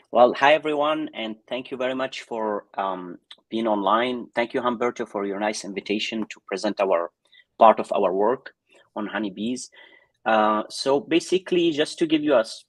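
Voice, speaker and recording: A man with a fairly low voice answers as an expert guest. He speaks haltingly, restarting and hesitating as he explains, and the sound is dry and close. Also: dry recording. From Syria and France. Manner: halting, self-correcting delivery with frequent hesitations. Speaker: honeybee research scientist, interview guest. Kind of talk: informal spoken interview answer.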